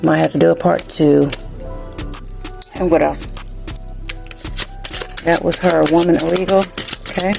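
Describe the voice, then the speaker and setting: A woman's voice: low voice, steady tone, measured pace, gravelly, long pauses, warm tone, faint music behind. A woman from New Jersey, raised in the South, with a slight Southern country accent, chatting casually through a spiritual card reading and often asking "you know what I'm saying?". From New Jersey, raised in South Carolina. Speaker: tarot card reader.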